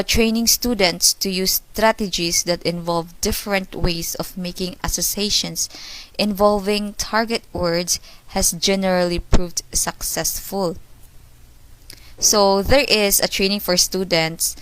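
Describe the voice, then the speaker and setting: A young woman presents a class report in a fairly low voice. She explains each point step by step and restates it with simple examples. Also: rough recording. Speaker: student presenter.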